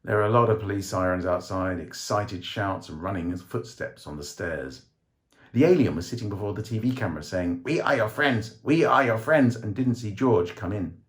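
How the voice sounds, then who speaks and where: A man reading a short story aloud as a dramatic scripted narration. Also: sits low.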